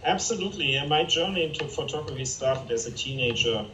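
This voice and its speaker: Guest: man, German accent, earnest and halting, roomy room sound, away from the mic on a video call. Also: fairly high pitch, expressive, pushed and bright, recorded from a distance, slight background noise. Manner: earnest, halting with many fillers. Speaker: interview guest, photographer.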